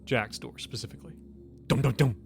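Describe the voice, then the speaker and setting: A man's voice: mid-range voice, fairly even intonation, a little fast, gravelly, soft background music. A man improvising narration as the game master of a tabletop roleplaying game.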